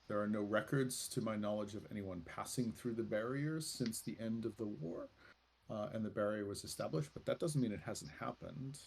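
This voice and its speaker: A man improvising as game master of a tabletop roleplaying game, speaking in a halting, deliberate way with many pauses. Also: lowish voice, gravelly, darkish tone, a little hiss or hum. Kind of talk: improvised in-character narration.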